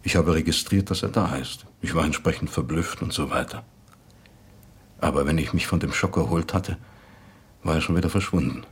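A hesitant, baffled man in a radio drama. His voice is extremely low and very husky, and he speaks in halting, uncertain phrases, as if at a loss to explain himself. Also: full character voice. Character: hesitant, baffled man confessing an avoided encounter. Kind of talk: radio drama played as an interview.

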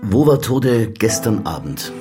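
An actor playing a gruff, cynical, hard-drinking Austrian police detective in a radio crime drama, sardonic and coarse, muttering asides. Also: male voice, on the low side, lively intonation, a little slow, over music. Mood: curt, insistent. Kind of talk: German-language radio play dialogue and inner monologue.

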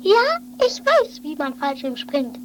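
A woman plays a childlike, stubborn daredevil with a piping, extremely high voice. The figure is eager and whiny, insisting over and over like someone who will not be refused. It sounds like an improvised eighties radio play, with clear noise on the recording. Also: sing-song, slow pace, heavily acted. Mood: determined. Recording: noisy amateur 1980s radio play recording.

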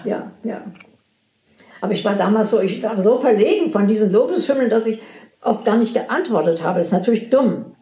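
A very old woman, a poet, telling anecdotes from her past in animated, casual conversation. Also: medium pitch, a little fast. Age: 80s or older. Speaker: poet recalling her career. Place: indoors in a room. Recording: roomy echo with music.

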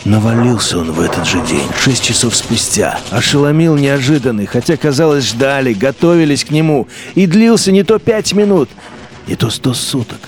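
A man narrating a radio drama in an intense, brooding literary style, with wide swings between low murmurs and sharp lifts. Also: lively intonation, moderate pace.

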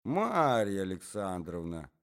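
A man voicing a squealing, indignant middle-aged provincial gentlewoman. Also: low-pitched, big pitch swings, slow pace, exaggerated voice. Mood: shrill outrage.

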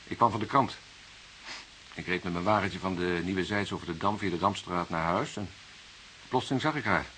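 A man's voice: low-pitched, moderate pace, a bit bright, rough recording. An actor playing a nervous, defensive murder suspect in a Dutch radio drama, hesitant and stammering as he repeats himself. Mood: uneasy, explaining. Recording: hissy radio play recording.

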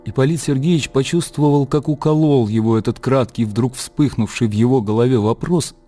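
Mellow male literary narrator. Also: mid-range voice, fairly quick, soft background music. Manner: composed, storytelling delivery. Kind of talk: scripted literary narration for radio drama.

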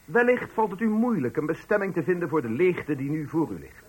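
A man's voice: a little high, lively intonation, fairly quick, darkish tone, husky, rough recording. A man playing a pompous, patronizing company director, speaking with unctuous ceremony in a Dutch radio play. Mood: pompous, ceremonious. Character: pompous, patronizing male company director giving farewell speech. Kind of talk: Dutch radio play, formal speech acting.

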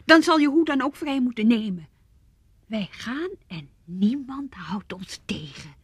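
A woman's voice: fairly high pitch, lively intonation, measured pace, breathy edge, dry recording, full character voice. An actress playing a determined, domineering woman in a radio play, insistent and teasing with her lover.

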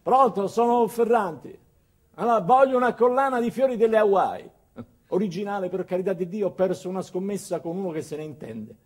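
A man's voice: sits high, brisk, husky. A man voicing a pompous, demanding professor who fussily gives orders by phone in a comic theatre monologue. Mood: imperious. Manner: pompous, fussy, imperious.

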